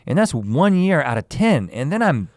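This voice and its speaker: A man in his forties musing aloud in a casual chat, reflective and halting as he searches for words. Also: a little high, very animated, a little mellow, dry recording, clean studio sound. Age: 40s.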